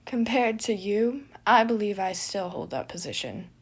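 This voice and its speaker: A woman's voice: mid-range voice, lively intonation, moderate pace, breathy edge. A woman voicing a proud, theatrical young man who bickers with dramatic, dry retorts in an audio story. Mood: wry.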